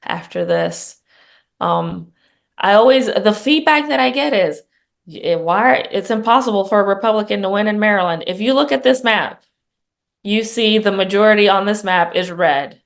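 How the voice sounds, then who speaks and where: A woman's voice: mid-range voice, normal speed, creaky. A middle-aged woman from Puerto Rico, a congressional candidate speaking in an interview, earnest and conversational with frequent ums and restarts. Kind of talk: campaign interview.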